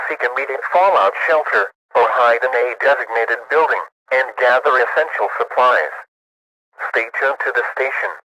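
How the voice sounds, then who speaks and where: A man's voice: medium pitch, sharp tone, dry recording, rough recording. A man reading a formal scripted emergency broadcast as an alert announcer.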